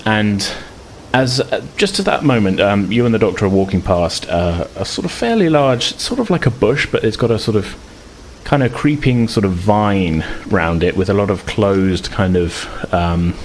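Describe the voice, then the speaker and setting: Man, lowish voice, game master, hesitant improvised narration, faint background noise. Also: very animated, moderate pace.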